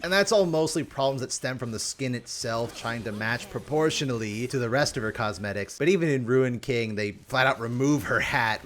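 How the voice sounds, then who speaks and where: A man hosting a casual, rambling game review with animated, exclamatory, mock-exasperated asides. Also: mid-range voice, slight background noise. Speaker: video host reviewing game characters.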